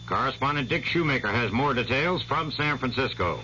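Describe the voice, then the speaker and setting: Authoritative male network newsreader, tense bright tone, faint hiss behind. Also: mid-range voice, expressive, normal speed. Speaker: network newscaster. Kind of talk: hourly news broadcast. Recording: muffled old radio broadcast with faint hiss.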